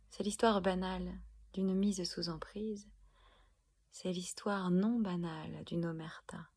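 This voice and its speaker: Casting: woman for spoken-word protest poem, slow and emphatic, husky with creaky edges. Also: fairly low pitch. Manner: deliberate, emphatic, dramatic phrasing with pauses. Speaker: poet performing own protest poem. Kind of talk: spoken-word poetry recital.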